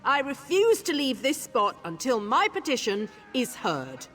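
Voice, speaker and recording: Imperious, swooping, high-voiced noblewoman. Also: very animated, measured pace, a bit bright, long reverb tail, soft background music, heavily acted. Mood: outraged. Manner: imperious, theatrical. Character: indignant, entitled noblewoman petitioner. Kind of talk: fantasy game dialogue.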